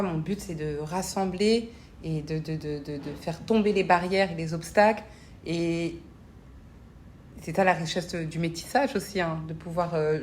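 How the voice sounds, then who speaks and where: A woman's voice: on the low side, darkish tone, a little hiss or hum. A woman giving reflective interview answers in an earnest, searching manner, often repeating words. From grew up between French and Congolese cultures. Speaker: interview guest, parliamentary assistant and association founder.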